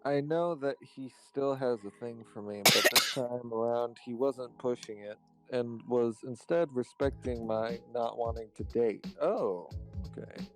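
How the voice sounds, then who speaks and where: A man's voice: medium pitch, measured pace, over music, low-quality recording. A man reading a visual novel's narration aloud in a casual group playthrough, as the narrator. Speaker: co-player reading narrator lines.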